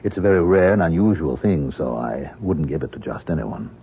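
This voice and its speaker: An actor playing a suave, secretly sinister professor of the occult in a 1970s radio drama, speaking in a measured, conspiratorial way. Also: male voice, very deep voice, animated, slight background noise. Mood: genial, measured. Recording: muffled vintage 1970s radio recording.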